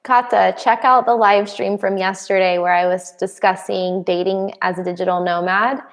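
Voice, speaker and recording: A young adult woman chats through a live Q&A, reading viewer comments aloud and answering in a loose, friendly way. Her voice sits at a middle pitch with a noticeable gravelly fry. She laughs often between remarks. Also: fairly even intonation, moderate pace. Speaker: live stream host. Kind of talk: casual live Q&A chat.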